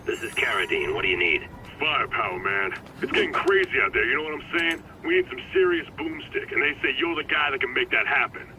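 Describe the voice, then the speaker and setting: A sketchy criminal, sharp bright voice, kinda far off, bit of noise. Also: male voice, medium pitch, animated, a little fast. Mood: shady. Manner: brash, streetwise. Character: shady male criminal dealing in guns.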